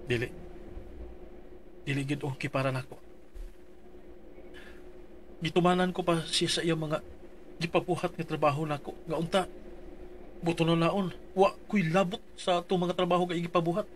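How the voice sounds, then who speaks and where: A man's voice: on the high side, steady tone, moderate pace, pauses often, slight background noise. A man reading out his dramatized complaint letter for a radio advice show, earnest and pleading.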